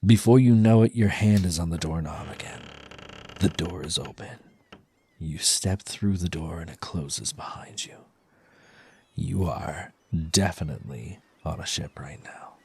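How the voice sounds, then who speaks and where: A man narrating second-person ASMR fiction in a breathy, husky, suspenseful hush that often drops to a near whisper, close to the microphone. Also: low-pitched, measured pace. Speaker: audio storyteller, ASMR performer. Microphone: close dry microphone.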